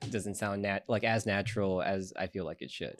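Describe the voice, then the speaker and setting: A man from Ecuador, a podcast guest, chatting casually in a relaxed, rambling way with lots of fillers. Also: sits low, moderate pace, a little hiss or hum. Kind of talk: casual chat. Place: podcast studio.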